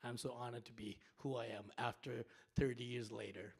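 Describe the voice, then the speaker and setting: A man's voice: medium pitch, steady tone, gravelly, slightly rough. Chatty middle-aged Japanese-born man hosting. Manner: halting, chatty, laughs often, many fillers. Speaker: host and storyteller. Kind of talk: casual live stage hosting. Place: theater stage.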